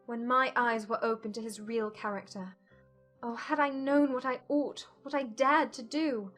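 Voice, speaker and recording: Woman to play young period gentlewoman for audiobook drama. High-pitched, distraught, emotional, self-reproaching. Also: steady tone, moderate pace, soft background music. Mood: wretched self-reproach. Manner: agitated, emotional, formal.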